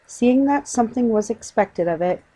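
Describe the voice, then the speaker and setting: A woman's voice: on the high side, expressive, normal speed, dark tone, husky, poor audio. A woman reading a children's story aloud as scripted audiobook narration.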